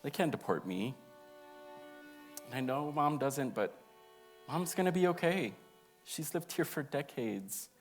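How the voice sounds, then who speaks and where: A man's voice: mid-range voice, lively intonation, measured pace, long pauses, faint music behind. A young man reading an autobiographical monologue aloud in an earnest, emotive storytelling way. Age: young adult.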